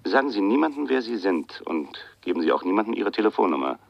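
An actor playing a secretive anonymous caller in hiding in a radio crime drama, measured, knowing and confiding, in with a gravelly voice. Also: male voice, lowish voice, sing-song, no room sound. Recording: dull, dry, band-limited telephone-style radio recording.

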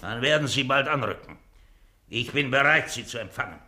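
A man's voice: medium pitch, expressive, normal speed, background noise, lightly acted. An actor playing a gloating, miserly Venetian schemer in a German radio drama, speaking theatrically and savouring his words. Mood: gleefully alert. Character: gloating, miserly rich Venetian schemer who feigns dying.

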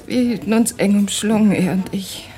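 An actress playing an anguished young refugee wife in a radio play, speaking haltingly and intensely, at times breathy. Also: female voice, mid-range voice, dark tone, close to an everyday voice. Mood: haunted recollection. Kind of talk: dramatic radio play acting.

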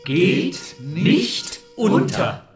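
An actress playing a grave, imposing backer in a stylised satirical radio play. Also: female voice, on the high side, huge pitch swings, drawn-out words, exaggerated voice. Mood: solemn. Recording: echoey, distant, loud guitar music behind.

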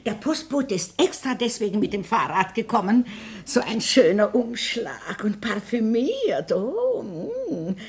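An actress playing a nosy, motherly landlady in a radio comedy, husky, chatty and teasing. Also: on the high side, big pitch swings, a little slow, a little mellow. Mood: curious, coaxing. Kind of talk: German radio comedy drama acting.

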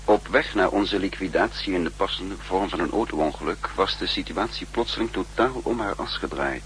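A grave spy chief held captive speaks in a measured, dramatic way, as in a sixties radio thriller. His voice is deep, with faint hum and noise on the old recording. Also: a bit bright. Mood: grave, suspenseful. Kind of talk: 1960s radio drama narration and dialogue.

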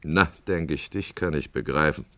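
Deep-voiced, wry old-style town judge. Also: male voice, moderate pace, a bit bright, no room sound. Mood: wry, resigned. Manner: sardonic, swings from confiding asides to declaiming. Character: weary, wry male town judge urging peace. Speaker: judge and first-person narrator. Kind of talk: radio play acting with confiding narration.

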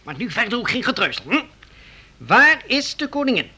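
An actor playing a stern, pompous baron in a radio drama, fussy and sputtering with repeated words. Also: male voice, very high-pitched, sing-song, normal speed, sharp tone, husky, full character voice. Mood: impatient, imperious. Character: stern, curt, pompous but good-hearted baron. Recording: noisy radio play recording with ambience.

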